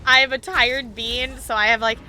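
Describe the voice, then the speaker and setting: A woman's voice: a little high, steady tone, moderate pace, bright, forceful tone, slight background noise. A woman chatting casually on a gaming livestream, playful and self-deprecating, with theatrical exclamations. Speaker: live streamer.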